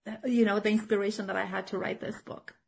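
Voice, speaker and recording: A woman's voice: mid-range voice, level tone, fast talker, creaky, a little mellow. A middle-aged woman from Romania, chatting in an interview as a children's author and teacher, full of fillers and restarts. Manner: chatty, many fillers and restarts, laughs often. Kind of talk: casual interview chat.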